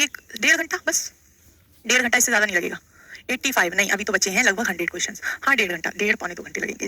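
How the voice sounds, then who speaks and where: A woman's voice: mid-range voice, pushed and bright, no echo. A woman teaching an exam class live, repeating phrases, prompting students with check-in questions and addressing them with casual endearments. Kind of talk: live online Hindi grammar lesson, question by question.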